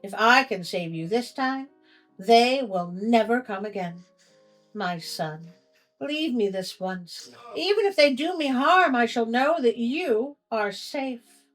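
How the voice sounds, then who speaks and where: Woman as an anxious, slow-spoken storybook queen. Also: fairly high pitch, animated, faint music behind, rough recording. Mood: pleading. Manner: earnest, entreating, old-fashioned phrasing. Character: anxious, loving queen protecting her boy.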